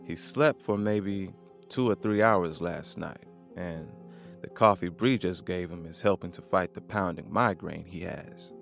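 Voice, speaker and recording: Low-pitched male audio drama narrator. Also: a little slow, gravelly, a bit bright. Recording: faint music behind the narration. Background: music.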